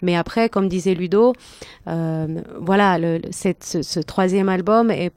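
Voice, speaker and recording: Low-voiced woman, halting interviewee. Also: a little mellow, no echo. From Argentine family, lived in Argentina. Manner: halting, repeats words as searching. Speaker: band singer and lyricist. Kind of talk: conversational radio interview.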